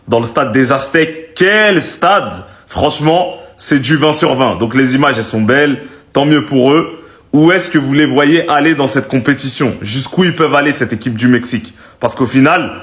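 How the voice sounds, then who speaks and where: A man's voice: mid-range voice, normal speed, a bit bright. A man giving frank, emphatic opinions on a football match in casual commentary.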